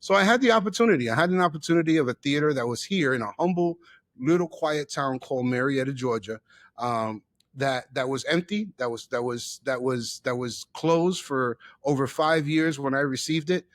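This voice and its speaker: Male, middle-aged, New York-raised guest. Emphatic storyteller who repeats for effect, mid-pitched, dry sound. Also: normal speed, no room sound. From the Bronx, New York. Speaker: interview guest, chef and theater owner. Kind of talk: personal storytelling in an interview.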